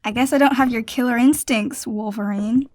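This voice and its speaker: A woman's voice: a little high, moderate pace, darkish tone, lightly acted. A woman playing a spirited, stubborn teenage girl superhero with a breezy, sarcastic, casual way of talking. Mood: downplaying.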